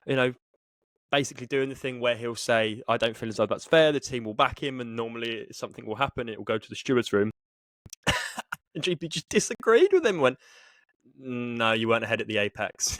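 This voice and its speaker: A man's voice: medium pitch, fairly bright tone, dry recording. A man co-hosting a motorsport podcast, talking casually and emphatically as he tells a story. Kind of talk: casual sports discussion.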